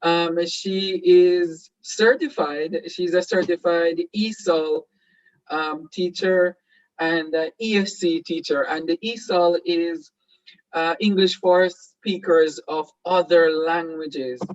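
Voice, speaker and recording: A man's voice: fairly high pitch, fairly even intonation, slow, lo-fi sound. A Jamaican man whose first language is Patois hosts an online seminar, talking hesitantly with many fillers and restarts. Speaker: host.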